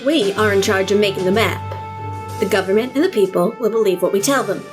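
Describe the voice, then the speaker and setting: A woman's voice: mid-range voice, a little fast, over music, natural voice. A woman playing a calculating government official in an audio drama, composed and knowing. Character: Missus Red, coolly calculating female government official.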